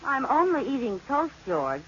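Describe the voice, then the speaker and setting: An actress playing a playfully sarcastic housewife with teasing comic delivery in a 1940s radio sitcom. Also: female voice, high-pitched, big pitch swings, moderate pace. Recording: scratchy muffled old-time radio recording.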